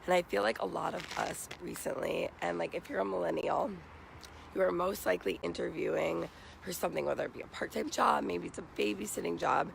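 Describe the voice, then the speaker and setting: Raspy, creaky young American man. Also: sits high, a little fast, slight background noise, low-quality recording. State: morning hoarseness. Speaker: vlogger giving job interview tips. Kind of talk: casual advice vlog. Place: outdoors.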